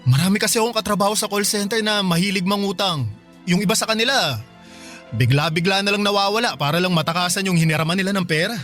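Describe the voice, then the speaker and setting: A young Filipino boyfriend in a radio drama, careful with money and earnest in his care. His male voice sits a little high, with a caring, sincere manner. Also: quick pace, fairly bright tone, soft background music. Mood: worried.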